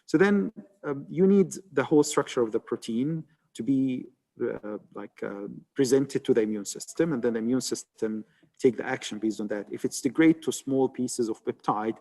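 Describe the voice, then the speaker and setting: A man from Egypt explains vaccine research on a video-call webinar, talking it through patiently and filling pauses with "uh" and "like". His voice rings with a long reverb. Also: medium pitch, mellow. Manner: explanatory, many fillers like "uh" and "like". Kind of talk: webinar panel answer.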